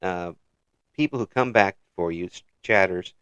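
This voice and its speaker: A man's voice: deep voice, normal speed, a bit bright, clear, resonant tone, dry recording. A man hosting a casual interview. He chuckles often and restarts his phrases. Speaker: interview host.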